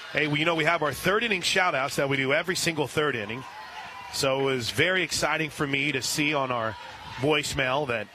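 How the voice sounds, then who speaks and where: A man with a medium-pitched voice does live softball play-by-play for radio. He describes each pitch and chats in detail between them. Clear outdoor ballpark noise sits behind his voice. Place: outdoor softball stadium broadcast position.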